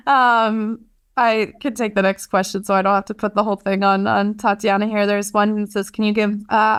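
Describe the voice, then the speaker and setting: A Danish-accented woman hosting an online webinar, relaxed and chatty with a self-mocking streak. Her voice comes through smooth and clean over a video call setup. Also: mid-range voice. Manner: chatty, self-deprecating, easygoing. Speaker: webinar host, marketing lead. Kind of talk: webinar wrap-up and Q&A.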